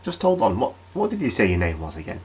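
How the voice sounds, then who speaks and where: A man plays a nervous British local radio host in a comedy horror radio play. His voice sits fairly low, and he stumbles and rambles apologetically as he tries to keep control. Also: wildly swooping pitch, quick pace, darkish tone, a little hiss or hum. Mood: rattled, flustered. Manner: hesitant, rambling, stammering.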